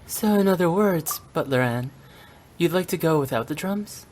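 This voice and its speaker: A young woman funeral director speaks in a notably low register for a video game. She is composed and businesslike, laying things out in measured, precise phrases. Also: lively intonation, a little mellow, soft background music. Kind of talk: English video game voice acting.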